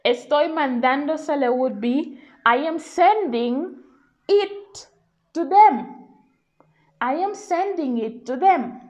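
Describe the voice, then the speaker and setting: A woman with a fairly high voice teaches an informal grammar lesson. She speaks very slowly, explaining step by step in a patient way and often checking that the learner follows. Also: lively intonation, a little mellow. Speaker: Spanish language teacher.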